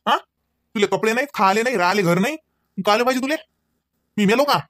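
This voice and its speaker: A man's voice: high voice, fast, lightly acted. A man playing a proud, angry village husband in a comedy cartoon, scolding in a Varhadi Marathi accent. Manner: scolding, firing off rhetorical questions. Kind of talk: amateur comedy cartoon dialogue.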